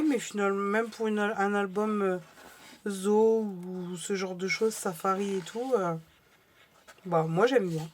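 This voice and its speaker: A woman vlogger with a slightly hoarse voice, chatting casually through a crafting haul with many fillers and restarts. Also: mid-range voice, slight background noise.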